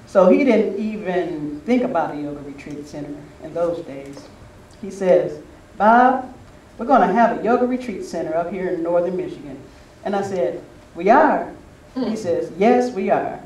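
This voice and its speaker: A man's voice: high voice, lively intonation, normal speed, few pauses, darkish tone. An older man giving an informal, anecdotal talk to a gathering, reminiscing in a conversational way. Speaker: speaker at a spiritual retreat. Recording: roomy, distant mic with faint noise.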